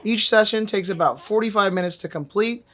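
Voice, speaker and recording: A man's voice: high voice, brisk, fairly bright tone. A man vlogging a casual app review in a wry, joking way, with sudden emphatic bursts. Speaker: VR fitness and language vlogger.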